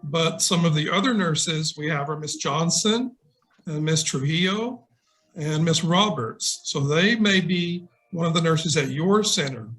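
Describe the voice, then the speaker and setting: A man's voice: fairly high pitch, a little slow, fairly bright tone. A middle-aged man, a nurse, reading a picture book to young children and putting simple questions to them. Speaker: nurse and guest storybook reader. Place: indoors on a video call. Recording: noisy video call with clicks.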